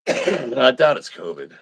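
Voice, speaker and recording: A congested man in his fifties, short of breath, chatting casually on a livestream, swearing and often clearing his throat. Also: mid-range voice. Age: 50s. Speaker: livestreaming powerlifter.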